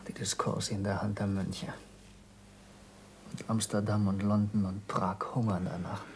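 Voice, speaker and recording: An actor playing an earnest, intense former pupil of a scientist in a German radio play, speaking tersely and formally, with stern gravity. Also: male voice, on the low side, little pitch movement, a little slow, a little hiss or hum. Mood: stern, bitter.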